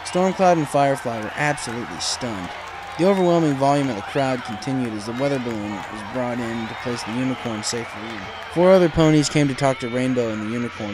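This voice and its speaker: A man's voice: mid-range voice, noisy background. A man reading a story aloud as dramatic scripted narration. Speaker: fan fiction reader. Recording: music under the voice.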